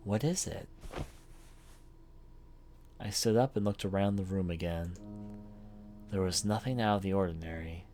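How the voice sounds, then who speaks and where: Male let's player, low register, dramatic horror story reading with game music clearly underneath. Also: measured pace, long pauses, warm tone. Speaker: let's player reading a visual novel aloud. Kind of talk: dramatic first-person story narration for a horror game playthrough. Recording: music behind the voice.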